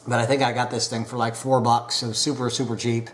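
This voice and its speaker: Unhurried man with a stuffy nose. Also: mid-range voice, little pitch movement. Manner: unhurried, many filler pauses. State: stuffed up from a cold. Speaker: pipe tobacco reviewer. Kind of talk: casual review to camera.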